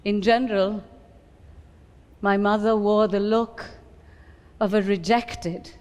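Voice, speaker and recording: A woman with an Indian accent giving a deliberate, expressive dramatic reading of a memoir in a hall. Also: medium pitch. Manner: deliberate, expressive storytelling delivery. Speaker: reader at a book event. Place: live reading in an event hall. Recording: reverberant hall.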